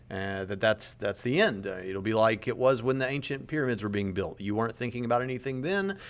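A man's voice: fairly low pitch, creaky. A man in his late thirties, earnest and conversational, commenting on a podcast clip and often repeating words as he reasons aloud. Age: 30s. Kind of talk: apologetics commentary.

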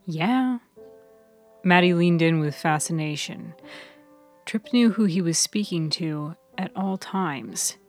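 A woman narrating scripted fiction in a deliberate, dramatic way. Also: on the low side, soft background music. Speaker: author and narrator.

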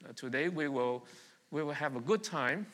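A man's voice: fairly high pitch, normal speed, fairly bright tone. A middle-aged man from Vietnam preaching a sermon to a congregation, talking in a conversational way and putting questions to the listeners. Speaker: pastor. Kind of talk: sermon with congregation call-and-response. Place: church hall. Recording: long reverb of a church hall.

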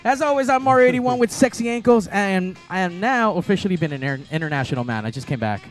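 A man's voice: high voice, animated, fast talker, soft background music. A man hosting a crude talk show, brash and joking, laughing often as he talks. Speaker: podcast host. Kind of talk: crude casual banter.

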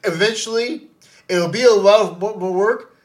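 A man with a slightly high voice jumps in with advice during a casual chat. He swears casually and keeps stressing his point. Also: moderate pace. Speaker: podcast co-host.

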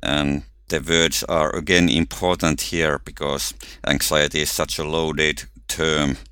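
A man with a Finnish accent explains ideas with care, often catching and restarting his words. His very deep voice has a bright, smooth clarity. The sound is dry, with no room around it. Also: flat delivery, measured pace, no echo. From Finland. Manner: careful, explanatory, with frequent small restarts. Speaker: researcher and guest. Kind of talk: thoughtful podcast conversation.

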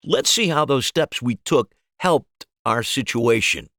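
A man's voice: medium pitch, animated, slow, a bit bright, dry recording, clean studio sound. A man voicing a patient, reassuring magician in a story, coaxing with simple leading questions. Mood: gently encouraging. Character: reassuring magician coaxing someone gently through a trick. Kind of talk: audiobook dialogue.

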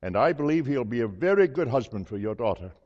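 A hearty, hospitable old priest, played by a man in a stage monologue, chuckling as he talks. His voice swings widely in pitch. It rings out in a reverberant hall with a slightly dull, muffled sound. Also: sing-song, quick pace, a little mellow. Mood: warm, chatty. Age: older. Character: jovial elderly male priest hosting a friend. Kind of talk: dramatic monologue.

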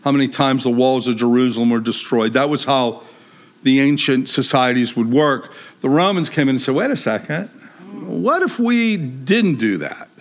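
A man preaching a sermon in a lively, conversational way, with humor and a big voice. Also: mid-range voice, moderate pace.

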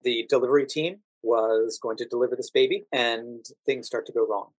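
An American man speaking as an interview guest, telling anecdotes conversationally with drawn-out emphasis on key words. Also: medium pitch, no room sound, poor audio. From United States. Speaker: podcast guest, actor working as a standardized patient.

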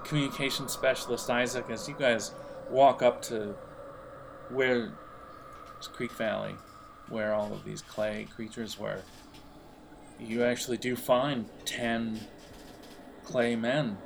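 A man narrating an audio role-playing game as game master, halting as he thinks aloud. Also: mid-range voice, steady tone, creaky, background noise.

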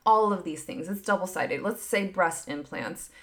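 Candid low-voiced woman in her thirties. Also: moderate pace. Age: 30s. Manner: animated, emphatic bursts with hushed asides; frequent filler "like", candid and swearing. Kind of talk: casual opinion chat.

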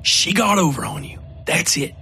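A man's voice: on the high side, big pitch swings, moderate pace, gravelly, sharp tone, a little hiss or hum, pitched above the performer's own voice, exaggerated voice. A man doing a terse, weary hardened man. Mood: cold. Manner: curt, clipped replies.

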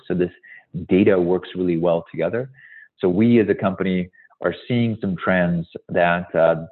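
A man's voice: low-pitched, breathy edge. A man from Hungary presenting on a webinar call, speaking emphatically and often stressing that things are absolutely critical. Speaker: guest presenter, tech company executive. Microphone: video call. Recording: tinny phone-like call audio.

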